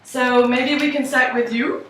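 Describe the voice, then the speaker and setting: Halting woman moderator in echoey room. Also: high voice, fairly even intonation, a bit bright, a little hiss or hum. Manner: halting, frequent restarts and fillers. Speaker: focus group moderator. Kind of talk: role-played focus group introduction. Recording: echoey room, far from mic.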